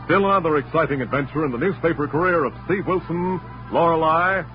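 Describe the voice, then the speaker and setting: A man's voice: fairly high pitch, a little fast. A man announcing in a polished, emphatic 1940s radio style, reading scripted narration and advertising. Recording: muffled old radio recording, music behind.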